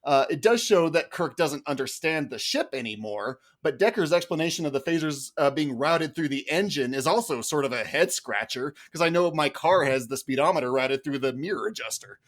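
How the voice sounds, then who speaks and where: A man's voice: fairly high pitch, animated, a little fast, few pauses, fairly bright tone. A man arguing a humorous film critique as a mock prosecutor, in a mock-formal, exasperated way. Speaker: podcast panelist playing a mock prosecutor.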